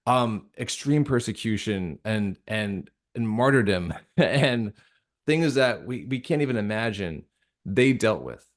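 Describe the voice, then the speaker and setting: Male interview guest, lower-pitched, sincere and halting, leaning on repetition for emphasis. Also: animated. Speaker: interview guest, radio drama creator. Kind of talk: earnest conversational interview about faith.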